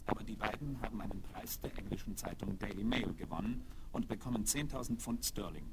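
A man gives scripted narration for a seventies children's radio play. He tells it in a measured, factual way, recorded on an old vinyl record. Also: lowish voice, heavy background noise.

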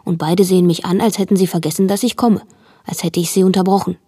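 An actress playing an observant young household helper who narrates plainly in the present tense in a radio drama. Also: female voice, on the low side, fairly even intonation, quick pace, warm tone, breathy edge. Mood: matter-of-fact. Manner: watchful, matter-of-fact.

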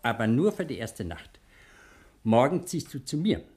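Actor as kindly old uncle with lively intonation. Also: male voice, medium pitch, normal speed, lightly acted. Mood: warm, inviting. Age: older. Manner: soothing, fatherly, courteous. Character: kindly, fatherly old German uncle, gentleman of standing. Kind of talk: 1960s German radio play acting.

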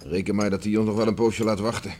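A man's voice: on the low side, fairly even intonation, quick pace, darkish tone, lightly acted. An actor in a radio play playing a weary, stubborn middle-aged farmer father who grumbles tersely and gloomily. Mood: gruff, doubting. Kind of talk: Dutch radio play acting. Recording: noisy old radio play recording.

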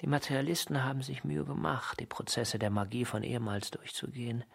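An actor playing a brooding, inquisitive intellectual in a radio play, with a husky, creaky voice and a musing, literary delivery. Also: male voice, mid-range voice, fairly quick. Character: brooding, inquisitive male intellectual drawn to the occult. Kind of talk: German radio play dialogue.

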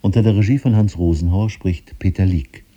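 A man's voice: low voice, fairly quick, mellow. A man giving a formal, scripted announcement as a radio drama announcer. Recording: dull, muffled broadcast recording.

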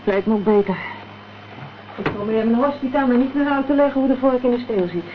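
A woman's voice: fairly high pitch, a little fast, natural voice. A woman acting a concerned, questioning companion in a Dutch radio crime drama. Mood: light. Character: worried, inquisitive female companion in a crime thriller. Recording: dull, noisy old radio play recording.

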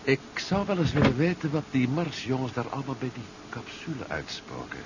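A male actor plays a bold man of action in a seventies sci-fi radio serial. His delivery is brisk and highly animated. The recording is muffled, with clear background noise. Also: natural voice. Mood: determined. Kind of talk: 1970s Dutch radio drama acting. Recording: dull, noisy 1970s radio play recording.

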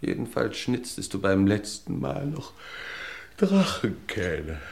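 A brusque older lord in a radio crime play, with a very low voice that swings widely in pitch. He takes his time, blustering and stammering when embarrassed. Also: sing-song, slow pace, gravelly, breathy edge, exaggerated voice. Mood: resigned, wry. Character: older English lord and uncle, brusque, flustered, drink-fond.

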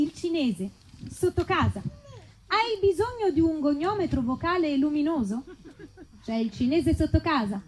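A woman with a very high voice performs a spoof advert on stage. There is faint noise in the live recording. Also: darkish tone, low-quality recording. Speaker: performer in comedy sketch. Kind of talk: mock advertisement and comic sketch.

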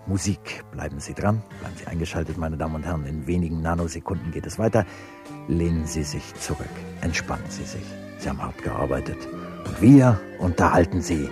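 A man's voice: a bass voice, a little fast, music in the background. An actor playing a courteous, slick male talk show host in a satirical radio play, formal and probing. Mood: smooth, announcing.

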